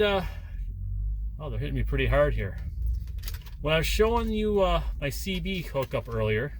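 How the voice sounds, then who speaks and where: A man chatting casually as a vlogging truck driver, with a folksy, jokey manner, recorded in a truck cab. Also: mid-range voice. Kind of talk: casual vlog chat. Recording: loud vehicle and engine noise. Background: loud vehicle noise.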